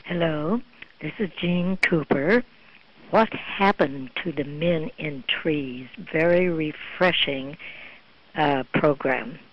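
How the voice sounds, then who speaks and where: A woman's voice: sits low, takes it slowly. An older woman leaving a polite, hesitant voicemail message. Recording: dull voicemail line.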